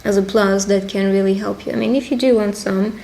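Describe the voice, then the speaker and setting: A woman speaking conversationally and encouragingly as a witch giving a personal love reading, pausing to interpret as she goes. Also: mid-range voice, steady tone, vocal fry, warm tone, a little hiss or hum. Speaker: tarot reader and roleplay video host. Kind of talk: intimate roleplay fortune-telling addressed to the listener.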